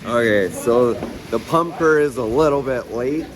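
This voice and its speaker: A man with a hoarse, raspy voice chatting casually in a vlog about his shop, rambling and trailing off with fillers. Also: mid-range voice, measured pace, background noise. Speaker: vlogger. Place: garage shop, partly outdoors.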